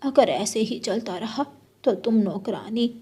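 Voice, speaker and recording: A woman's voice: fairly high pitch, animated, measured pace, warm tone, breathy edge, full character voice. A woman voicing a blunt, gossipy older neighbour mother-in-law bird in a children's cartoon, complaining and giving reproachful advice. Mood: advising. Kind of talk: Hindi children's cartoon story voice acting.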